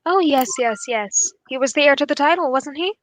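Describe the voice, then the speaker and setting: A woman's voice: high-pitched, lively intonation, brisk, no room sound. A woman playing a keen, assured male English detective in a radio drama, speaking in a formal, analytical way.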